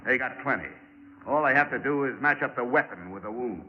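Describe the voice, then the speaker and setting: A man's voice: mid-range voice, lively intonation, fairly quick, lightly acted. An actor playing a tough, wisecracking insurance investigator who needles his suspects and narrates his own case, in a 1940s radio drama on a dull old broadcast recording. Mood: taunting. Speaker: narrator and lead.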